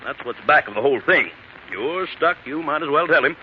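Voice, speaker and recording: A man's voice: on the high side, animated, brisk, close to an everyday voice. A man playing a shrewd, methodical district attorney in a 1940s radio drama, probing and emphatic. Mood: accusing. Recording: muffled, hissy 1940s radio recording.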